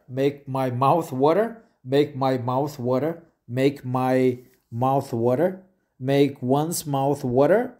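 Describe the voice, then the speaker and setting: A man slowly reads an article aloud, repeating phrases and stumbling over words. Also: mid-range voice, dark tone. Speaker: English learner reading aloud. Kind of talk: reading a web article aloud with asides.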